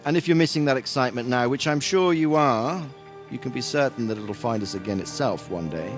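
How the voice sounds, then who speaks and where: A man's voice: mid-range voice, brisk, soft background music, lightly acted. A man playing a fond, teasing, worldly older mentor in a medieval video game cutscene. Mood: wistful, reassuring.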